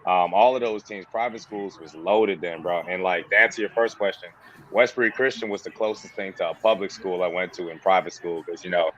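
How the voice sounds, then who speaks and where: A deep-voiced man in his thirties with a softened Bahamian accent talks loosely, peppering his stories with "bro" and "you know". A faint hiss of background noise sits under the recording. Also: steady tone, a little fast, muffled recording. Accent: Bahamian, toned down. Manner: casual, slangy, says "bro" and "you know". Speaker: former player, now coach. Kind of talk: relaxed interview storytelling.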